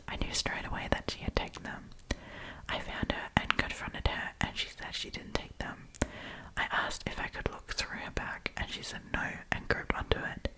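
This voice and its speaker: Female ASMR storyteller, entirely whispered, informal and chatty, reading aloud with offhand commentary. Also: rough recording. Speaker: host reading and reacting to online stories.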